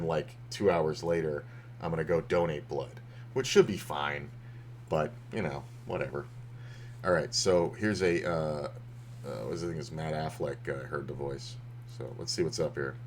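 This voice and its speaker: A man casually talking through poker hands on a stream, rambling with lots of fillers, his voice slightly hoarse. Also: low-pitched, a little hiss or hum. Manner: rambling, loose, with many fillers and restarts.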